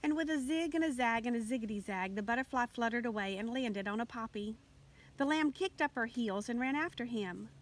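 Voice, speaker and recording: A woman reading a children's picture book aloud as an expressive storytelling narrator. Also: fairly high pitch, brisk, low-quality recording. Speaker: storybook reader.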